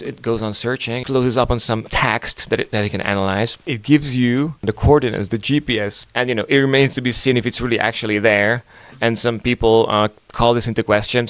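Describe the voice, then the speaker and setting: A man whose first language is Hungarian hosts a podcast, riffing on news in a loose, joking way full of swearing and fillers. His voice is smooth and clear at an average pitch. It sits close and dry, with no room sound. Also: dry recording. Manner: rambling, profane, joking, many fillers. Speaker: podcast host. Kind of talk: casual news commentary.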